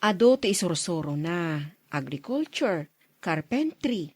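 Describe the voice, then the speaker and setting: A woman's voice: lowish voice, very animated, unhurried, a little mellow, breathy edge, dry recording, clean studio sound, heavily acted. A woman playing a good-natured, chatty married school coworker in a Philippine radio drama. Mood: persuasive.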